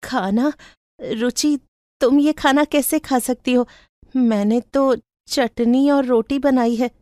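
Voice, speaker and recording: A woman's voice: sits high, fairly even intonation, slow pace, mellow, no echo, clean studio sound. A woman playing a poor, sorrowful young orphan in storybook cartoon dialogue, plaintive and earnest. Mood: embarrassed.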